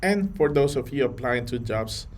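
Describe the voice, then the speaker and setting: A man from Colombia, earnest and measured, talking to camera with a few fillers and restarts. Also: medium pitch, expressive, a little hiss or hum. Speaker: vlogger on data careers. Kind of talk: to-camera talk.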